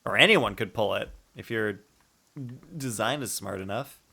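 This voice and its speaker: A man's voice: fairly low pitch, normal speed, gravelly, bright, forceful tone. A man giving casual, playful live commentary as a game streamer, thinking aloud in a rambling way.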